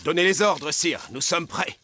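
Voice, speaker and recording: High, forceful guard captain. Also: male voice, moderate pace. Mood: deferential. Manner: deferential, military. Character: dutiful male guard captain serving a king. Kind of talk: French animated Bible drama dubbing. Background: music.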